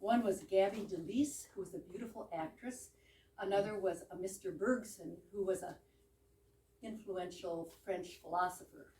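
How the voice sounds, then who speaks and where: A woman's voice: mid-range voice, moderate pace, dark tone. Female presenter, informal explainer style, mid-range voice, roomy distant recording with faint noise. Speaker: presenter introducing a play she acts in. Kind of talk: informal explanatory introduction to an audience. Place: room in a private home with a small audience. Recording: echoey room, distant mic, background noise.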